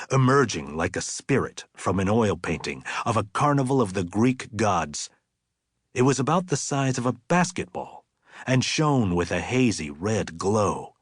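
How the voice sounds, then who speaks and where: A man reading literary fiction as an audiobook narrator, in a measured, deliberate and expressive way. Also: fairly low pitch, husky, dry recording, clean studio sound.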